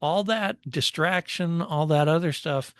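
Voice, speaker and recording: A man's voice: fairly high pitch, unhurried, a bit bright, no echo, clean studio sound. A man co-hosting a motorsport show in casual, conversational and analytical discussion.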